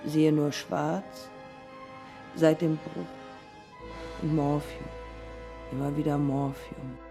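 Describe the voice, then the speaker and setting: An actress playing a defiant, haunted early woman pilot in a German radio play, speaking in terse, deliberate fragments. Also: low-pitched, flat delivery, music in the background. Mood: dark, haunted. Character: pioneer woman aviator, haunted, defiant, morphine-addicted.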